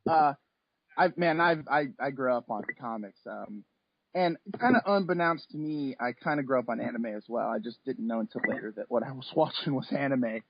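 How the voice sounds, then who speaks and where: A man's voice: medium pitch, a little fast, slightly rough. A man in his thirties speaking casually as an interview guest, in a hesitant, rambling conversational way. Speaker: interview guest, comic and anime artist. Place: remote call from home. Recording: slightly dull, lo-fi call audio.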